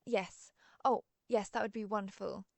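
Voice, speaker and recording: A woman acts as a genteel female vampire with a gravelly fry in her voice, recorded close and dry. She is an ancient but friendly creature, chatting in a polite, gently wry way in an audio roleplay. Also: medium pitch, steady tone, moderate pace, dry recording. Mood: gracious, friendly. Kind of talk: scripted roleplay audio, one side of an interview.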